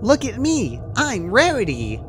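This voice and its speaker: A man's voice: high voice, huge pitch swings, a little slow, noisy background, pitched above the performer's own voice, heavily acted. A man voicing a gleeful, sadistic female cartoon pony in a horror fan story, jovial and joking with mock sweetness. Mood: manic glee. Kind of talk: horror fan fiction character voice.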